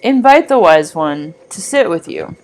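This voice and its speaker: Slow-paced woman guiding a meditation. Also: on the low side, big pitch swings, a little mellow, poor audio. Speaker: guided meditation reader. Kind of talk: scripted guided meditation narration.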